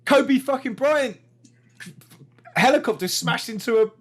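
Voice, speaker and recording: A man's voice: very high-pitched, measured pace, a bit bright. A man co-hosting a podcast, joking and chatty in casual talk. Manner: joking, does mock rants. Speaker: podcast co-host. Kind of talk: casual chat.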